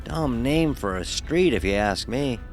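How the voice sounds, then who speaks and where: A man's voice: mid-range voice, expressive, a little slow, faint music behind. A man playing a sarcastic young fraternity pledge who narrates his own story in an audio horror drama, wry and sardonic. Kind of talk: scripted first-person horror narration with dialogue.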